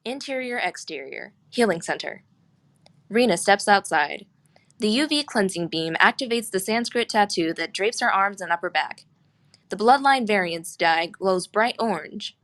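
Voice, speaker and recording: Mid-pitched woman narrating a screenplay. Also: lively intonation, moderate pace, a bit bright. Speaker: narrator of stage directions. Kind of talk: scripted screenplay narration at a table read.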